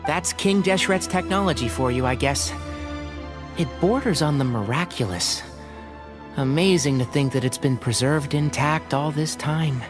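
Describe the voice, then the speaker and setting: A male actor voices a thoughtful travelling companion in a fantasy game. The voice sits a little high for a man, over clear background music. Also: normal speed, close to an everyday voice. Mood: impressed. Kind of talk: video game character acting.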